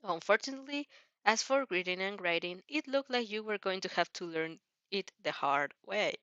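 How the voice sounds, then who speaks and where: A woman's voice: medium pitch, expressive, normal speed, a bit bright, no room sound, dull audio. A woman reading fan fiction as a storyteller with casual reactions, giggly and often stumbling over and rereading phrases.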